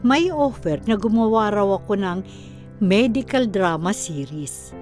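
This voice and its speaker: A woman narrates a radio drama in a reflective, measured style. Her mid-pitched voice moves expressively up and down, with music clearly underneath. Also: lively intonation, darkish tone.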